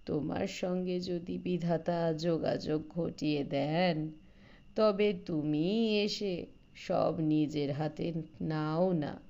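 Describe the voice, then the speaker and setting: Woman voicing a low, slow old village gentleman. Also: steady tone, gravelly, highs cut off. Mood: persuasive. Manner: folksy, coaxing, explanatory. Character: talkative old village Brahmin man, persuasive and boastful.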